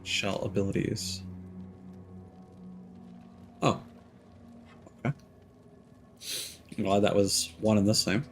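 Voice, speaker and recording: Lowish male streamer muttering. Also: fairly even intonation, slow pace, pauses often, soft background music. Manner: reads menu items aloud, muttering. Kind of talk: casual game commentary.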